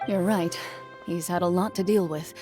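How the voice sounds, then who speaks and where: An actress playing a calm, earnest, dutiful woman champion in a video game, speaking in a measured, formal way. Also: lowish voice, over music, natural voice. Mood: calm, kindly.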